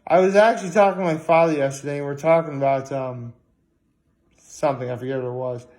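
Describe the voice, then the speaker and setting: A tired man giving a casual, rambling vlog monologue, haltingly circling back and repeating himself. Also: on the high side, a little mellow. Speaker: daily vlogger.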